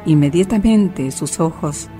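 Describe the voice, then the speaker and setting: A woman's voice: low-pitched, unhurried, dark tone, faint music behind. A woman narrating a scripted radio drama as a storyteller.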